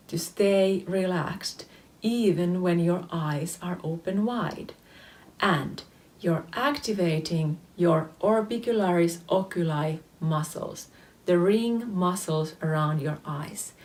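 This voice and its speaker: A woman in her late thirties from Finland with a Finnish accent, a face yoga teacher explaining exercises to camera in a methodical way, often pausing on "um". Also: fairly low pitch, a little mellow. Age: 30s. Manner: explanatory, methodical, with frequent "um" fillers. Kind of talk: instructional video talk to camera.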